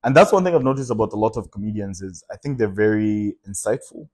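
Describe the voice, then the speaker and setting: A young man from Zambia, a comedian guest telling stories in a relaxed podcast chat, with lots of fillers and laughter. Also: fairly low pitch, quick pace, breathy edge. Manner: storytelling, laughs often, many fillers.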